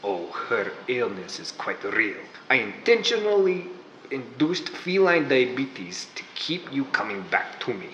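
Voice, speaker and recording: A slow, gloating mad-scientist villain. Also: male voice, medium pitch, very animated, fairly bright tone, muffled recording, slight background noise. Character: scheming mad-scientist villain posing as a vet. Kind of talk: 1940s radio drama acting. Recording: roomy echo.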